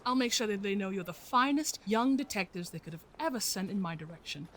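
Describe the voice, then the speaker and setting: A woman's voice: mid-range voice, animated, brisk, slight background noise, lightly acted. A woman playing a distressed, effusive lady pleading for her missing cat in an audio drama. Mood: anxious pleading. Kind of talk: scripted audio drama acting.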